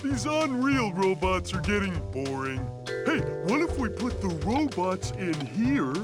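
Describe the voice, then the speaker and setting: A man's voice: high-pitched, big pitch swings, a little slow. A man voicing a dim, good-natured, childish starfish in a cartoon video game, goofy and earnest. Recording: loud music over voice.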